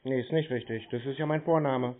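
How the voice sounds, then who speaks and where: A man in a casual prank phone conversation, needling the other side in a deadpan way. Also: medium pitch, brisk. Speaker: prank call recipient baiting phone scammers. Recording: band-limited, tinny phone line.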